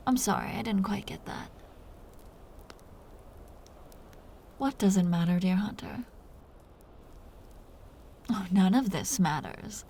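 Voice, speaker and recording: A woman playing a teasing, seductive, dominant vampire captor in an intimate roleplay audio drama, talking in breathy half-whispers. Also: medium pitch, a little slow, mellow, a little hiss or hum, natural voice. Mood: mocking.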